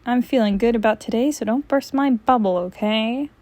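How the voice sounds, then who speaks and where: A woman's voice: a little high, normal speed, darkish tone, dry recording, natural voice. A woman voicing a dull, earnest, nerdy man who owns a cat, in a comic storybook read-aloud. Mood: hopeful.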